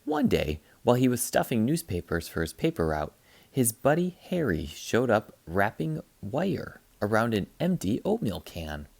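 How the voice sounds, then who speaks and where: A man reading a children's picture book aloud as a teacher, with animated, dramatic emphasis. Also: fairly low pitch, moderate pace, creaky, no echo. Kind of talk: expressive children's read-aloud narration.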